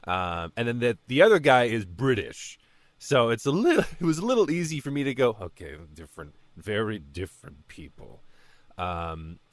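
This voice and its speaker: A man answering as a guest voice actor in a casual interview, halting and often correcting himself. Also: medium pitch, sing-song, no echo.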